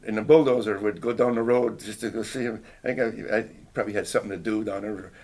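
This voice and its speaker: A man's voice: on the low side, steady tone, fast talker, darkish tone. An older man whose first language was Finnish, recalling his childhood in a hesitant, reflective oral history interview. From Canada. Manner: hesitant, reflective, frequent restarts and throat clearing.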